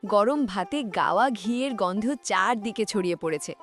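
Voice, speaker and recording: A woman's voice: mid-range voice, expressive, moderate pace, faint music behind. A woman narrating a cartoon story from a script.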